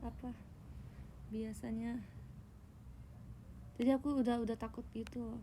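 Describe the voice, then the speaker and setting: A woman's voice: a little high, level tone, measured pace, warm tone, a little hiss or hum. A young Indonesian woman chatting casually and teasingly with her viewers on a phone livestream. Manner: playful, teasing, tells tall tales. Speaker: idol livestreamer.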